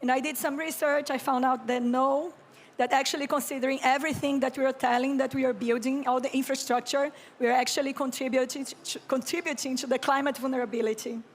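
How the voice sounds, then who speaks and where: High-voiced Brazilian woman giving a calm keynote. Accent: Brazilian Portuguese. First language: Brazilian Portuguese. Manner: slow, calm and reflective, with frequent throat clearing. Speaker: conference speaker, architect and urban planner. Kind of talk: reflective keynote talk. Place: conference stage.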